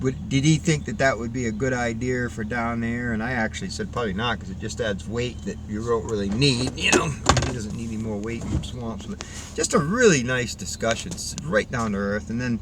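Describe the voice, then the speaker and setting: A man in his fifties, a logger, chatting casually to the camera from inside his pickup truck, rambling and folksy with lots of fillers and restarts. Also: on the low side. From Rhode Island. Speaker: logger and vlogger. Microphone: dashboard camera in front of him. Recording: distant car mic with road and outdoor noise.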